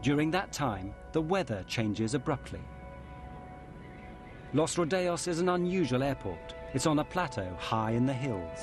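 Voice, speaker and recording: A man narrating a documentary in a measured, grave scripted style. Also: mid-range voice, sing-song, music in the background.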